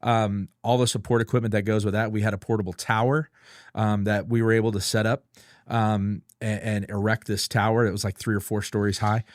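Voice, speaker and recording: Low-voiced older man, dry close sound. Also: flat delivery, a little fast, no echo. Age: 50s or older. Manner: explanatory, informal. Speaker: podcast guest, retired air traffic controller. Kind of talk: casual reminiscing. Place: close-miked dry studio.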